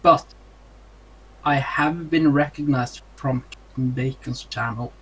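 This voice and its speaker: This young man speaks English with a Norwegian accent, pausing often to search for words. His pitch sits in an ordinary middle range, and a faint noise runs under the recording. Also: a bit bright, rough recording. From Norway. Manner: hesitant, many ums and uhs. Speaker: streamer, interview guest. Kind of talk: casual interview answers.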